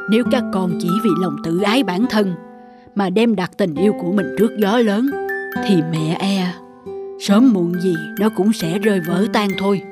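Woman as elderly cartoon mother, gentle, wise, patient, lower register, music behind the voice. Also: lightly acted. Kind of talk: animated moral tale dialogue. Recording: background music under the voice.

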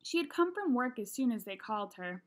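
A young woman with a fairly high voice reads a children's novel aloud. She narrates from a small room at home, picked up by a laptop mic at desk distance. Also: very animated, a little fast. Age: young adult.

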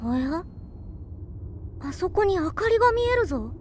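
A woman's voice: extremely high pitch, sing-song, unhurried, a little mellow, slight background noise, heavily acted. A woman playing an innocent, eager wooden puppet boy in a radio drama, full of wide-eyed, earnest wonder. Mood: amazed. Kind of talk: Japanese radio drama acting.

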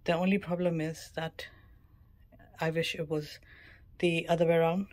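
A woman's voice: deep voice, level tone, moderate pace, darkish tone. A woman travel vlogger giving a casual, matter-of-fact tour narration of a small cabin. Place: mostly a small cruise ship cabin. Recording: clear background ambient noise.

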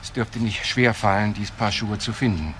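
A man's voice: lowish voice, normal speed, noisy background, natural voice. A man playing a polite, precise professional in a German crime radio drama, speaking formally and carefully. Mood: earnest, insistent.

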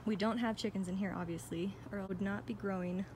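American lady doing a casual garden tour vlog, chatty n upbeat, medium pitch, phone mic outside w a bit of ambient noise. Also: fairly even intonation, quick pace, a little mellow. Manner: chatty, enthusiastic. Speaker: vlogger giving a garden tour. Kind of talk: casual walk-through narration. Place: outdoors in a backyard garden. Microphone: handheld phone behind the camera.

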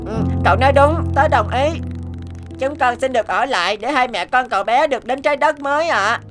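A woman voicing a small, earnest and humble dragonfly in an animated fairy tale, respectful in manner. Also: sits high, a little fast, natural voice. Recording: loud guitar music behind the voice. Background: plucked guitar music.

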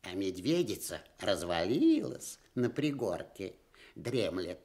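Excitable little forest gnome, swooping intonation. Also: male voice, deep voice, takes it slowly, heavily acted. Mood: excited delight. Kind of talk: Soviet children's radio play acting.

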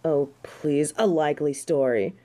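Woman acting a snobby angel, slow and haughty. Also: low-pitched, mellow. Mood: haughty, irritated. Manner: haughty, disdainful, theatrical. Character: snobby, haughty, prickly female fallen angel. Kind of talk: audio roleplay acting addressed to the listener.

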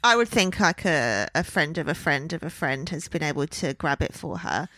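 A woman's voice: low-pitched, expressive, normal speed, pushed and bright, dry recording. A woman co-hosting a podcast, giving frank, opinionated takes in casual chat. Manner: frank, opinionated, laughs easily. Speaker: podcast co-host. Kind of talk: casual chat reviewing a TV show.

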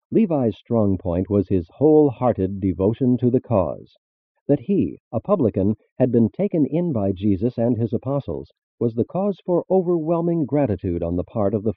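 A man reading a scripted religious narration in a formal, measured manner. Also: on the low side, dry recording. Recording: muffled phone-like band-limited audio.

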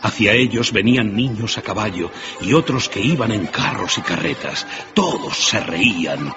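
A man narrates a radio story. His voice is very deep and his delivery dramatic. Music plays clearly behind the slightly muffled recording. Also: lively intonation, moderate pace.

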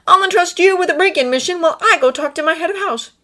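Woman voicing an exasperated, high-pitched teenage boy. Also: quick pace, bright, forceful tone. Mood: urgent, grim. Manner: emphatic, pressing, argumentative. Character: exasperated, insistent teenage boy, sharp and stung. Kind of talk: dramatized fiction reading.

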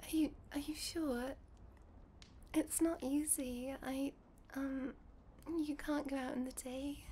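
A woman playing a sweet, shy young vampire girlfriend in an intimate ASMR roleplay, whispering softly and hesitantly. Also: sits high, steady tone, slow, slight background noise. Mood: hesitant, caring. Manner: soft, breathy whispering, hesitant and giggly. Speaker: roleplay voice performer.

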